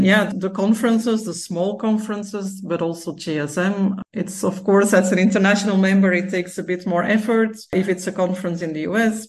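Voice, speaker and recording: A woman, speaking relaxed and casually as a podcast guest, with frequent fillers. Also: fairly low pitch. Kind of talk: podcast interview.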